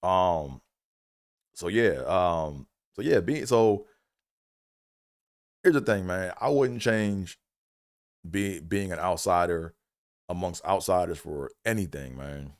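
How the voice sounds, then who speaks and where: Very low-voiced laid-back man, dry sound. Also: animated, takes it slowly, pauses often, no echo. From Maryland, USA. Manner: loose and conversational, heavy fillers, frequent "you know what I'm saying". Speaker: comedian and engineer hosting a livestream. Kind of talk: casual solo livestream monologue.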